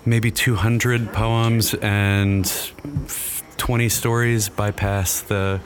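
A man's voice: fairly low pitch, little pitch movement, very slow. A man editor being interviewed on a podcast, starting hesitantly with many fillers, then explaining matter-of-factly. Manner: hesitant, lots of ums, then matter-of-fact. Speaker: literary magazine editor, guest.

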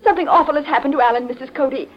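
An actress playing an anxious, suspicious, high-strung young woman in a 1940s radio drama, nervous yet formal. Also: rapid-fire, close to an everyday voice. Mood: alarmed. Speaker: radio actor. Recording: dull old 1940s radio broadcast.

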